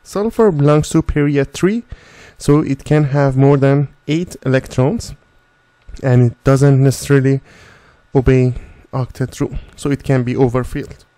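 A man with a soft, mellow voice at a middle pitch narrates a tutorial. He explains methodically, one step after another, in the manner of a chemistry tutor. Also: steady tone, normal speed.

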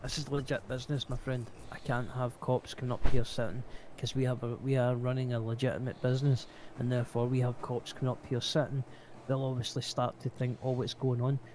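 A man's voice: mid-range voice, flat delivery, brisk, hardly any pauses. A man improvising as an aggrieved biker business owner, speaking bluntly with swearing in a roleplay voice chat. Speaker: roleplay game player. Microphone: gaming voice chat mic. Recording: noisy game voice chat.

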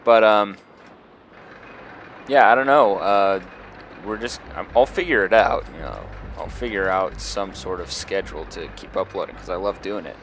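Brief: young adult man, deep and soft-spoken, laid-back game commentary full of "um"s, lowish pitch. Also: normal speed, slight background noise. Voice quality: deep, quiet voice. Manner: relaxed, rambling, thinks aloud with fillers. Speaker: gameplay video host. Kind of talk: casual gameplay commentary.

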